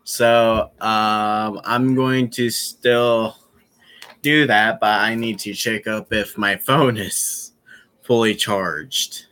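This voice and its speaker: Young guy streaming, talks super slow, bright voice, lots of ums and restarts. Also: on the low side, flat delivery. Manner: rambling, halting, many fillers and restarts. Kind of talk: casual live-stream chat.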